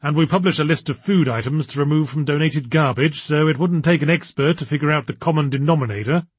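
Irritable earnest detective guy, done by a male reader, argumentative, a bit husky, audiobook dialogue. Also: fairly high pitch, fast, no room sound.

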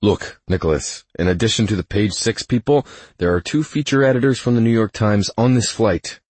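A man's voice: lowish voice, fairly quick, a little mellow, no echo, pitched above the performer's own voice, lightly acted. A male audiobook narrator voicing an exasperated, fussy assistant who pleads urgently to keep the peace.

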